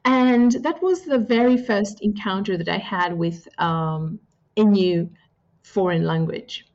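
A woman's voice: medium pitch, a little mellow. Thoughtful woman, muffled audio. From Greece. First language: Greek. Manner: thoughtful, with frequent pauses and fillers. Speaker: guest. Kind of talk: reflective interview answers.

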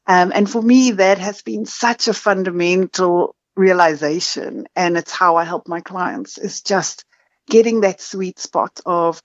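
A woman's voice: fairly low pitch, measured pace, dry recording, muffled recording. A middle-aged woman with a South African accent, a podcast guest telling her story frankly with self-deprecating humor over a video call. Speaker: marketing consultant, podcast guest.

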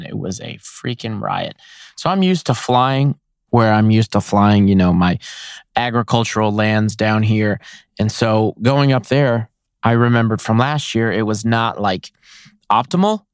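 Slightly hoarse, excitable man podcasting. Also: fairly low pitch, dry recording, clean studio sound. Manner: enthusiastic, rambling, laughs often. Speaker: podcast host. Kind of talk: casual solo podcast recap.

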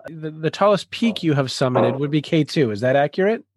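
A man hosting a leadership podcast, speaking in a measured, earnest way and guiding his guest with questions. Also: fairly high pitch, animated, background noise. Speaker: podcast host. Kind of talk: podcast interview.

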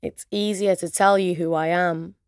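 A woman with a British accent and Arabic as her first language, reciting spoken word poetry in measured, deliberate phrases with pauses. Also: on the low side, fairly even intonation, clean tone, no echo, clean studio sound.

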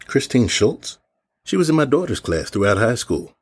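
A man plays a middle-aged small-town police chief in an audio drama. His voice is rough and husky, with lively movement in pitch. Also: moderate pace. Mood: recollecting.

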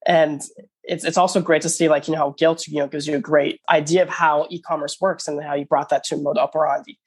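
Husky-voiced male podcast host. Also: fairly high pitch, little pitch movement, quick pace. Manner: eager, uses many fillers like you know. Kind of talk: interview hosting.

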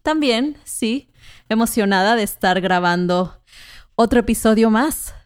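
A Mexican woman co-hosting a podcast, speaking in a measured, clear way for learners and often affirming with soft murmurs. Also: on the high side, lively intonation, no room sound, studio quality. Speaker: podcast co-host. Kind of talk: slow, clear conversational chat for learners.

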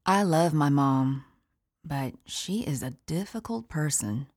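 A woman's voice: low voice, lively intonation, takes it slowly, a little mellow, full character voice. An actress playing an imprisoned mother who worries about her children, weary and resentful in an audio play monologue. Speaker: incarcerated mother.

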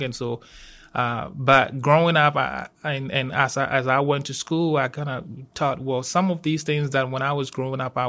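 Ghanaian man with an even delivery. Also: mid-range voice. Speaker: university safety professional, interview guest. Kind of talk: conversational interview answers.

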